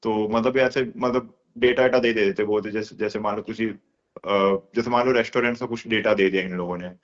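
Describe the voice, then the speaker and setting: A young man explaining things colloquially in a casual phone conversation, with many filler words. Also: lowish voice. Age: young adult. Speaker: course student being interviewed. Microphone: phone call. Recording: dull lo-fi phone call recording.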